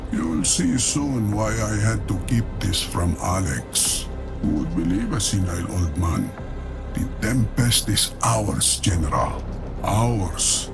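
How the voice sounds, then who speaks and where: An old, zealous schemer speaks in a slow, creaky, portentous voice. It sounds like a game cutscene, with music loud over the words. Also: male voice, lowish voice, expressive, exaggerated voice. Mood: ominous, triumphant. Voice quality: gravelly. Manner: portentous, deliberate. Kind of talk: dramatic video game cutscene acting.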